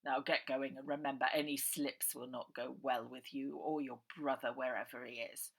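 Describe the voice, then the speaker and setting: A woman's voice: extremely low pitch, level tone, normal speed, creaky, pitched below the performer's own voice, exaggerated voice. A woman voicing a cold, commanding, contemptuous villainous uncle in a children's story, mock-polite and sneering. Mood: cold, threatening.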